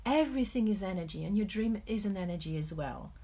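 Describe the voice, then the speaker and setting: A middle-aged French woman speaking English with a French accent, giving a candid, reflective motivational talk with personal stories, often correcting herself, in a husky voice. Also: medium pitch, animated, fast, mellow. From France. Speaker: motivational coach hosting a live stream.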